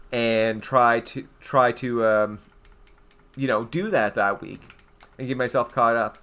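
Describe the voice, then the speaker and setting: Canadian man, young adult, gaming streamer, rambling casual chat, mid-pitched voice. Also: fairly bright tone. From eastern Canada. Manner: chatty, rambling, reads out and answers viewer chat. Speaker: game streamer.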